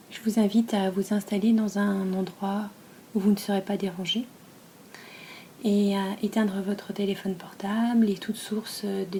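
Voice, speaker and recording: A woman with a French accent from France leads a guided relaxation as a yoga nidra teacher, speaking softly, breathily and calmly, with long pauses between phrases. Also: medium pitch, a little hiss or hum. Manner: soft, breathy, calm and soothing delivery.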